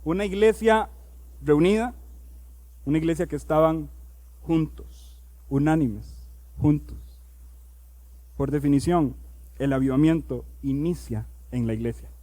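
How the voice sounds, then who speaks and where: High-voiced preaching man. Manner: builds to loud, high-pitched emphatic preaching; reads scripture aloud, slowing on key words. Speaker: pastor. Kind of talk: sermon.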